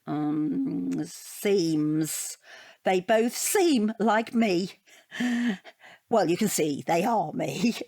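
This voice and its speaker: Chatty, flustered divorcee, close and dry. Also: female voice, on the low side, animated, drawn-out words, mellow, no room sound, full character voice. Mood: flustered, wistful. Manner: rambling, earnest, easily flustered. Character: chatty, self-deprecating divorced woman taking an art appreciation class. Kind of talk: naturalistic audio drama dialogue.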